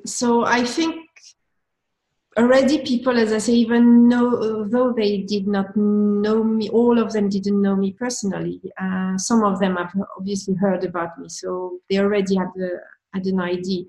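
A woman's voice: mid-range voice, fairly even intonation, a little slow, dark tone, poor audio. A woman with a French accent, answering interview questions as a manager, thoughtful and with frequent restarts.

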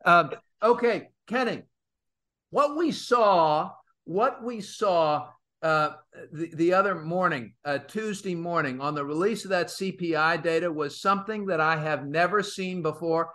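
A man talks bluntly, with an edge of disbelief, in a high voice. His tone is bright and pressing, with a tight, tense bite. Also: unhurried. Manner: blunt, incredulous. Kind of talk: casual chat.

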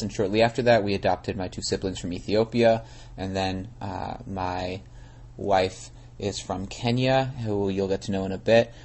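A young man in his twenties, a guest on a podcast, telling his background in a relaxed, rambling way. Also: on the low side. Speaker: guest and co-interviewer. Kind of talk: casual conversation.

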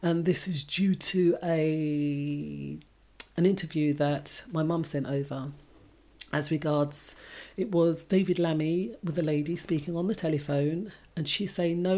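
A woman's voice: deep voice, steady tone, unhurried, mellow. A woman from England, co-hosting a casual discussion and speaking reflectively, addressing her listeners directly. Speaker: podcast co-host.

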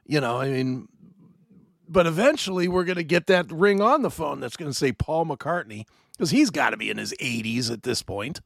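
A man in his fifties hosting a casual podcast chat, rambling and animated with many restarts. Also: a little high, moderate pace, vocal fry, no room sound, studio quality. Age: 50s. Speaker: host.

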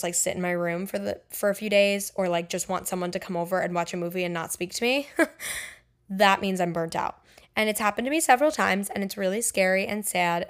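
A young woman talks through a casual solo podcast in a chatty, candid way. Her speech is loose and full of "like" and "um". Her voice sits at an ordinary middle pitch. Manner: chatty, filler-heavy with frequent "like" and "um"; candid and personal. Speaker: podcast host and songwriter.

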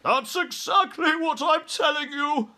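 A man's voice: high voice, lively intonation, a little slow, pushed and bright, pitched above the performer's own voice, full character voice. A man voicing a blustering, panicky air force chief in a storybook, exasperated and spluttering. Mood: frantic.

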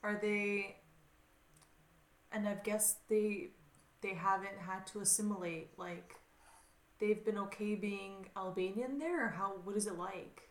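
A woman's voice: mid-range voice, unhurried. A woman hosting a casual chat, enthusiastic and upbeat, using lots of "like". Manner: enthusiastic, filler-heavy, upbeat. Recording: roomy echo.

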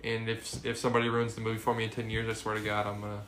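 A man in his twenties co-hosting a podcast, chatting casually and getting excited, often saying "bro". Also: fairly low pitch, very fast, slight background noise. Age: 20s. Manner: excitable, says "bro" a lot. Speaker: podcast co-host. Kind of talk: casual chat.

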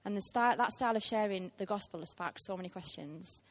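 A woman in her thirties gives a guest sermon in a church. Her voice sits at an ordinary middle pitch, and her delivery is sincere and heartfelt, with plenty of small ums between thoughts. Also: brisk. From Teesside, England. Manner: earnest, heartfelt, with frequent ums. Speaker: guest preacher.

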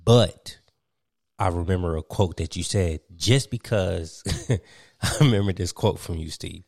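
A man's voice: low voice, measured pace, creaky, darkish tone, dry recording. A young man from Virginia hosting a casual podcast chat, rambling with lots of fillers and laughing often. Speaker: podcast host.

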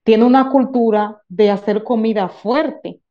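Slow-spoken Dominican woman, dull recording. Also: on the high side. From Dominican Republic. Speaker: guest speaker in a group discussion. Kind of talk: unscripted explanation of lived experience.

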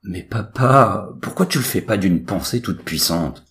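A man's voice: fairly low pitch, lively intonation, measured pace, a bit bright, pitched above the performer's own voice. A man voicing a sulky adolescent son, casual, whiny and sarcastic, in a comic audio story.

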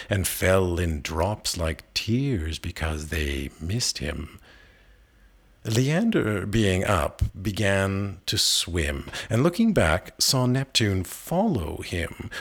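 A man reading narrative verse aloud as an expressive literary recitation, with measured, dramatic phrasing. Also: low voice. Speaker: poetry reader.

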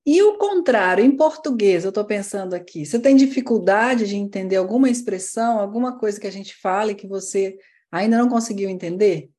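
A woman's voice: medium pitch, lively intonation, brisk, few pauses, dark tone. A woman hosting a podcast interview, asking friendly and encouraging questions. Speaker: podcast host.

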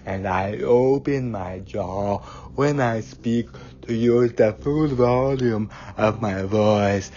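Comic bit: a man as a pompous would-be rock star, low and unhurried, muffled lo-fi recording with soft music behind. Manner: slow, deliberate, grandiose, stilted formal phrasing. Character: boastful self-confident man dreaming of rock stardom. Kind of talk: comic character monologue. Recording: dull lo-fi sound with faint music.

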